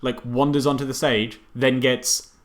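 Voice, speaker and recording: A man's voice: medium pitch, flat delivery, unhurried. Sarcastic, sweary male film critic. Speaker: film review podcast host. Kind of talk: casual comedic film critique.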